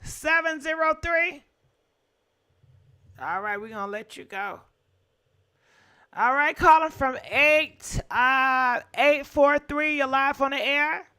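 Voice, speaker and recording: Bright high-voiced woman hosting a live show. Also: slow, long pauses. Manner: preachy, teasing, takes callers. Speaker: gossip show host. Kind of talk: live call-in role play and chat.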